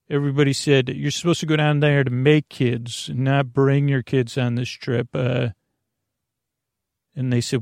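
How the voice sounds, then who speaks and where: A man with a creaky, gravelly voice delivers a meandering monologue as a sleep podcast host. He drifts into tangents and breaks off his own sentences in a halting way. The recording is dry and close, with very little room sound. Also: mid-range voice, darkish tone, no echo. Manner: meandering, halting, full of tangents and self-interruptions.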